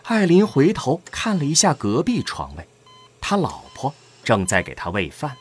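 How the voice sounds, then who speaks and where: A man's voice: medium pitch, huge pitch swings, normal speed, breathy edge. A man narrating an audio drama from a script in a dramatic storytelling style.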